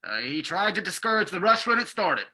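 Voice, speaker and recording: Man acting high, raspy shortwave radio informant. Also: big pitch swings, fairly quick, bright, forceful tone, heavily acted, low-quality recording. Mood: terse, reporting. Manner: clipped, urgent. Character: terse male field informant on shortwave radio. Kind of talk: old-time radio drama acting.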